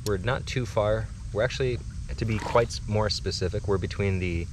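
A man's voice: on the low side, moderate pace. An American man in his thirties presenting a casual outdoor fishing how-to, recorded on an action camera by a river. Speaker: angler presenting a fishing video. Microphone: action camera a short distance away. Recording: noisy outdoor camera audio.

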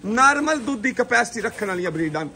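A man's voice: very high voice, expressive, fast talker, crowd noise. A middle-aged man hosting a cattle sales video outdoors on a farm, showy and upbeat, directing the handlers. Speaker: livestock video host. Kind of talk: sales pitch showing cattle.

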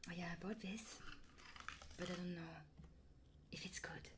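A woman streaming ASMR, chatting casually and playfully with her viewers in a soft, intimate style. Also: on the low side, fairly even intonation, normal speed, noisy background.

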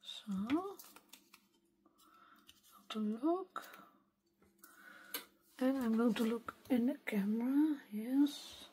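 Soft, slow-talking Dutch woman, voice weak. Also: fairly high pitch, expressive, slight background noise. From Netherlands. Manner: soft-spoken, unhurried, talks to herself while working. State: voice weak and partly gone. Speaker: craft video maker. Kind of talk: thinking-aloud craft tutorial. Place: craft desk at home, filmed from overhead.

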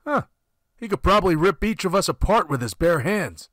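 A man's voice: high voice, lively intonation, moderate pace, a bit bright, dry recording, studio quality. An actor playing a brash, eager, uneducated henchman in an audio drama, talking in blunt slang. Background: music.

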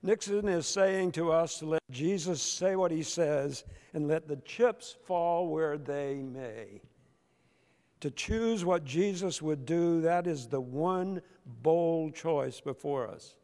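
An older man, a pastor, preaching a sermon from the pulpit with slow, emphatic delivery and deliberate pauses. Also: fairly high pitch, warm tone. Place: large church sanctuary, at the pulpit. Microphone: pulpit microphone. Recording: long church reverb.

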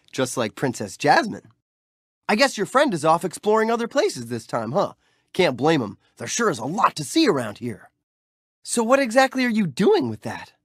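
A cheerful young street-thief hero from a video game, chatty and playful as he cheers the player on. His voice swoops widely up and down with bright youthful bounce. It is recorded dry and close on a studio mic with no room sound. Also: on the high side, no room sound. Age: young adult. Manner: friendly, playful, upbeat and encouraging. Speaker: game character.

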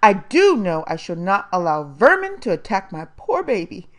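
A woman's voice: mid-range voice, very animated, moderate pace, natural voice. A woman voicing a stern, haughty foster mother who scolds in a pompous, formal way, in an audiobook. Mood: pompous scolding.

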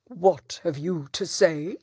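A woman's voice: on the low side, slow pace, a little mellow, husky, no echo, clean studio sound, exaggerated voice. Woman voicing a stern old-tale judge. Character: stern male judge questioning the traders.

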